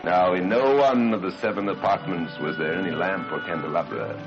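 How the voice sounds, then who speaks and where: A man narrating a gothic horror story in a solemn, theatrical old-time radio style, with deliberate, dramatic phrasing. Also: sits low, highs cut off. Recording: band-limited old radio sound with music. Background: music.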